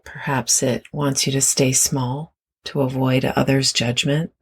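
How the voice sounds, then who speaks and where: A meditation guide giving gentle, unhurried instructions with long pauses between phrases. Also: medium pitch, level tone, slightly rough.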